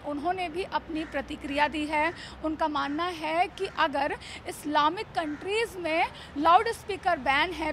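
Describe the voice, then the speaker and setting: Very high-pitched woman news reporter, bright voice. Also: slight background noise. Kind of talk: news field reporting and interview.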